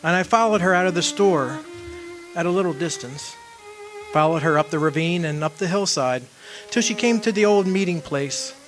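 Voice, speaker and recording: A man acting in a radio drama as a wistful, earnest man devoted to his lost young love, speaking in deliberate phrases. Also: sits high, a bit bright, faint music behind. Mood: wistful, grieving.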